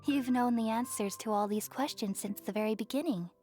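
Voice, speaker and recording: A woman voicing a composed, probing inner voice in a game, calm, knowing and deliberate. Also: a little high, faint music behind, natural voice. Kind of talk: anime-style game voice acting.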